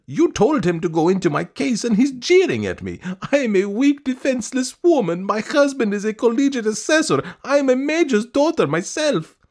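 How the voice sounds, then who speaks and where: A man's voice: very high voice, very animated, normal speed, few pauses, darkish tone, voice pushed up, heavily acted. A man reading a story and voicing a fussy, pleading middle-aged woman who wheedles and insists. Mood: indignant.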